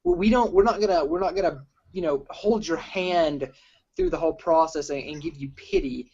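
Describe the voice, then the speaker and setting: A man with a slightly high voice hosts an informal film review chat. He feels his way through sentences in a halting, rambling manner. The recording sounds a little dull and lo-fi. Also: animated, a little mellow, slightly rough. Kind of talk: casual group film discussion.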